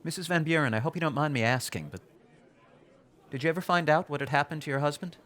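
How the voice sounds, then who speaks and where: An actor playing a courteous, probing documentary journalist in a radio drama, speaking in a polite, measured way. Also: male voice, on the high side, fairly bright tone, natural voice. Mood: gentle, courteous. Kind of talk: radio drama interview and scripted narration.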